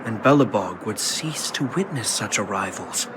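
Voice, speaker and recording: An actor playing a stern, dutiful captain of the city guard in a video game, speaking formally and with command. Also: male voice, fairly low pitch, expressive, normal speed, natural voice, poor audio. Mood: measured, solemn. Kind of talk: dramatic video game voice acting. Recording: music under the voice.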